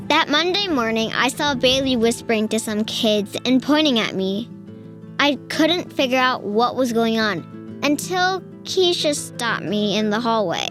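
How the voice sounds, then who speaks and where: A woman reading a children's storybook aloud in a deliberate storytelling manner. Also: a little high.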